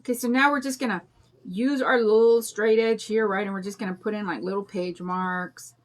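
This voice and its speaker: An older woman with a raspy voice and a drawl, chatting in a rambling way and greeting viewers while she demonstrates a craft on a livestream. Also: medium pitch, steady tone, brisk. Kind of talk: casual chat during a craft demo.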